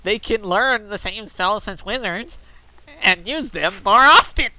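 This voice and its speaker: A man's voice: high voice, lively intonation, measured pace, heavy background noise, voice pushed up. A man voicing a smug, defensive forum nerd, talking with his nose plugged. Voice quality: nasal, pinched nose. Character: smug nerdy male forum poster, defensive.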